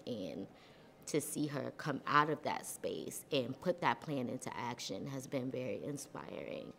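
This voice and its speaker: A woman's voice: a bass voice, creaky. A woman interviewed as the founder's friend and roommate, speaking reflectively and admiringly.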